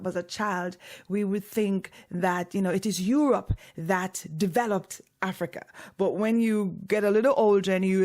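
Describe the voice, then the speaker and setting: Lady hosting a radio call-in, lots of ums and restarts, middle pitch. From Jamaica. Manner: hesitant, with many fillers and restarts. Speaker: radio show host.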